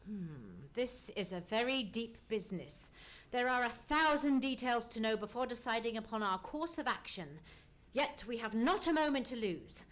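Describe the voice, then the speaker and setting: Radio-play detective played by a woman: keen, commanding, formally inquisitive, fairly high voice with very varied intonation. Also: lively intonation, normal speed, fairly bright tone. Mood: grave, decisive. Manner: crisp, formal questioning. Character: keen, probing, authoritative Victorian consulting detective. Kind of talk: live old-time radio drama acting. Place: theatre stage.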